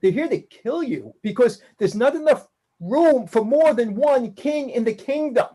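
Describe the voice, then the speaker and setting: A woman's voice: fairly high pitch, animated, measured pace, mellow, breathy edge. A woman playing a defiant adult son who rants sarcastically. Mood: pleading. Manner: ranting, sarcastic, confrontational.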